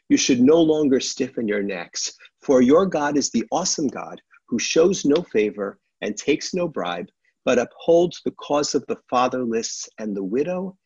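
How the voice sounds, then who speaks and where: Gravelly middle-aged rabbi on a webcam. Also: male voice, mid-range voice, a little mellow, no echo. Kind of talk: sermon. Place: sunlit home room with windows. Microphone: laptop webcam. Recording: dull, dry webcam audio.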